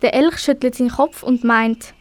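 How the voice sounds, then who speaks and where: A woman's voice: on the high side, normal speed, noisy background. A woman with a Swiss German accent narrating a scripted children's story for an amateur radio play.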